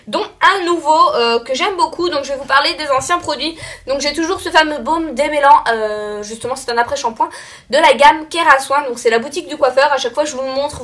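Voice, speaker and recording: A woman's voice: high voice, a bit bright. A young woman with a slightly stuffy voice from a cold, chatting casually and rambling with many fillers about her monthly beauty favorites. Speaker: beauty vlogger.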